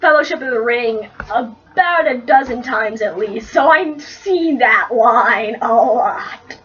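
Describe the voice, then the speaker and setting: Female co-host: high, lively voice, jokey casual reader of fan fiction, slightly muffled home recording. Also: slow, husky. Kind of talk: casual, joking chat and story narration.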